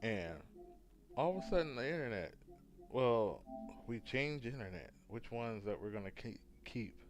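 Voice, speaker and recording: Slow, rambling hungover man streaming games. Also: medium pitch, expressive, music in the background. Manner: rambling, halting, talks to chat while fiddling with setup. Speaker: gaming livestreamer. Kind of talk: casual livestream chat.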